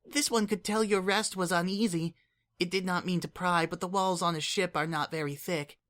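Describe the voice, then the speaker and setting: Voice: mid-range voice, fairly even intonation, moderate pace, fairly bright tone, voice pushed up, natural voice. A reader voicing a small young female changeling child who speaks formally, calling herself "this one", earnest and eager in an audiobook. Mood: concerned.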